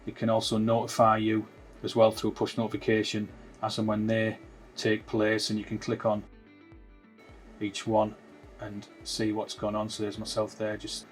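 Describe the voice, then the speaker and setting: A man's voice: fairly low pitch, monotone, moderate pace, faint music behind. A man presenting a product demonstration, explaining it informally step by step as a technical tutorial.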